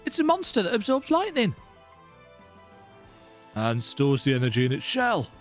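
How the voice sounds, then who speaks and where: Video game imperial soldier, played by a man: pitched way up, urgent, exclamatory, warning comrades. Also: huge pitch swings, moderate pace, faint music behind. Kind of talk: video game dialogue read aloud.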